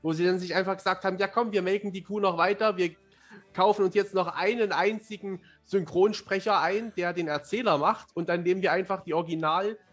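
Man in his thirties, slightly high voice, talkative and explanatory, conversational interview style. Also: steady tone, brisk, faint music behind. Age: 30s. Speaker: fantasy author, podcast guest.

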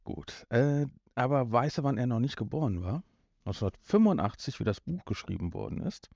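A man in his fifties hosts a casual podcast with a relaxed, mellow voice. He rambles and jokes as he goes. The sound is slightly muffled and roomy, as if recorded at home. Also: medium pitch, brisk, creaky. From North Rhine-Westphalia, Germany. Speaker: podcast host. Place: room in a family home.

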